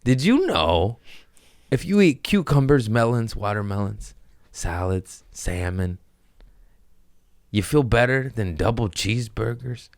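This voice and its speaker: A man hosting a podcast, rambling through loose, profane jokes in casual chat with a deep voice. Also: animated, unhurried, no room sound, clean studio sound. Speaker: podcast host, comedian.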